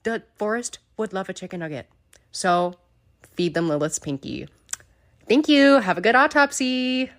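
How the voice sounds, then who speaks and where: A woman's voice: mid-range voice, expressive, normal speed, a bit bright. A woman delivering a mock-serious comic rant as a listener submission, emphatic and pleading.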